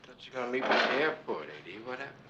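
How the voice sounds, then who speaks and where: An actor playing a gentle, encouraging preacher in a screen drama, talking in a coaxing, affectionate way. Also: male voice, mid-range voice, very animated, rapid-fire, muffled recording, very noisy, close to an everyday voice. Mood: teasing, friendly. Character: gentle, encouraging preacher man reassuring his brother. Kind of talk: naturalistic screen drama dialogue.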